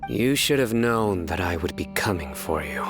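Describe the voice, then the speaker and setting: Very deep, menacing young general. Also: male voice, lively intonation, over loud music. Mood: cold menace. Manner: slow, deliberate, threatening. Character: grim, menacing young male general hunting down wrongdoers.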